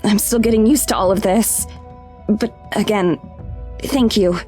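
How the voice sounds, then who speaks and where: Unsettled young heroine, played by an actress: mid-pitched, sincere, hesitant, conversational visual novel delivery over music. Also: female voice, measured pace, dark tone, natural voice. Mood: awkward gratitude. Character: earnest, unsettled young woman, newly rescued.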